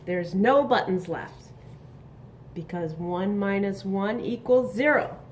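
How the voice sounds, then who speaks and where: Slow-paced woman reading to young children. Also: medium pitch, slight background noise. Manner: slow, expressive, instructive, with exclamations and questions. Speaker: storybook reader. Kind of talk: read-aloud for young children with questions and asides.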